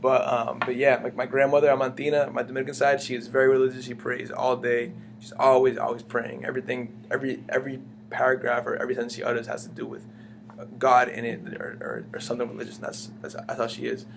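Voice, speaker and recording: Young guy rambling fast in an interview, lots of likes and restarts, hummy noisy recording. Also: mid-range voice, flat delivery, gravelly. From United States. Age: young adult. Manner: rambling, many fillers and restarts. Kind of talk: casual conversational interview. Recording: humming background noise.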